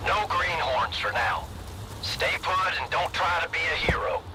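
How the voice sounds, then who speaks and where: An actor playing a gruff, protective faction leader giving orders in video game dialogue. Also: male voice, fairly high pitch, expressive, measured pace, noisy background. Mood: commanding. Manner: giving orders over a loudspeaker.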